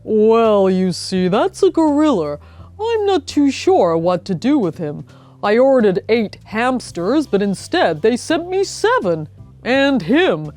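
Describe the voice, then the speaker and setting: A woman's voice: a little high, sing-song, darkish tone, faint music behind. A woman voicing a hesitant, proud male pet store owner in a children's storybook. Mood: hesitant, unsure. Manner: slow, hesitant salesman's patter.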